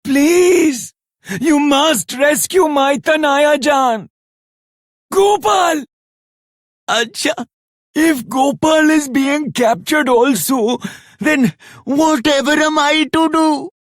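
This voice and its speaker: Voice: high voice, takes it slowly, dry recording, clean studio sound. An actor playing an anxious old merchant in a video game, with an Indian accent and a dramatic, florid way of talking. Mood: pleading, distraught. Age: older. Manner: dramatic, florid, ungrammatical speech. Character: anxious old merchant, pleading for a kidnapped granddaughter. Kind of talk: theatrical video game voice acting.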